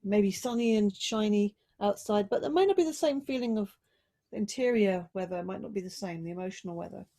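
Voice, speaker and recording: Woman guiding a slow, mindful relaxation. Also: medium pitch. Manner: slow, mindful, gently coaxing instructions with pauses. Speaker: yoga teacher. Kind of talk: guided relaxation and body check-in.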